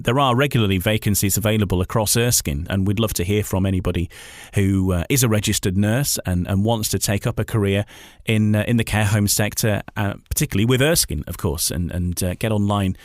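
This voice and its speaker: A man hosts a radio chat in a fairly low voice with a dry, close sound. He phrases things conversationally, halting and repeating words as he builds each question. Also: no echo. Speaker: radio host.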